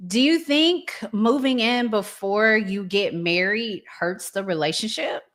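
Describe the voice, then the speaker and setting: A woman hosting a casual discussion, introducing topics and putting questions with pauses between her thoughts. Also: mid-range voice, a bit bright. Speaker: talk show host.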